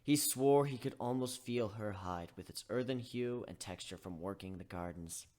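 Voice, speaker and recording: A man's voice: fairly low pitch, normal speed, a little mellow. A man narrating a story as an amateur dramatic reader. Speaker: amateur narrator reading fan fiction.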